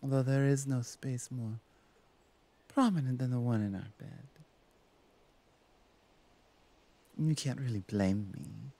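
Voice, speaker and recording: A woman's voice: rumbling low, moderate pace, mellow. A woman playing a teasing, devoted female orc shaman in an intimate, chatty ASMR roleplay, with playful, hesitant asides. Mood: playful, flirtatious. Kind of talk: ASMR roleplay, intimate one-sided conversation.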